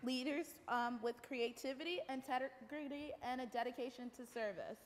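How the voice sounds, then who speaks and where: A woman's voice: high-pitched, normal speed, a crowd in the background. A female student performer reciting a readers theater script. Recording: echoey hall, clear background noise.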